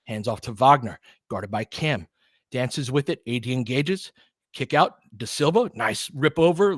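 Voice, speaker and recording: A man's voice: medium pitch, fairly bright tone, dry recording. American male sports livestream host, informal and slangy, mid-range voice, very dry close recording. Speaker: basketball play-by-play livestream host. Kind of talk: casual fan chat and play-by-play commentary.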